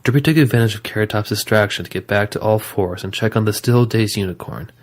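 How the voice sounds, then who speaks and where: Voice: medium pitch, fast talker. A narrator reading scripted third-person story narration for an audio drama.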